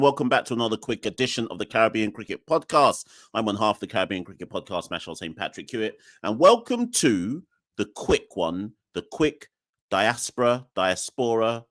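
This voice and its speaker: A man's voice: fairly low pitch, big pitch swings, fairly quick, fairly bright tone, dry recording. A man from Jamaica hosting a cricket podcast in a casual, emphatic way, with lots of fillers and tag questions. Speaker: podcast host. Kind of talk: casual solo podcast talk.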